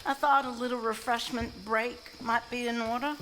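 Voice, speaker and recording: Actress doing sly southern country lady, highish sharp voice, stage play, faint hiss. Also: fairly even intonation, normal speed, lightly acted, rough recording. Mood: coy, teasing. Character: folksy sly Southern country woman, sassy and guarded. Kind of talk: live stage acting. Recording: live stage recording, hiss and night insects.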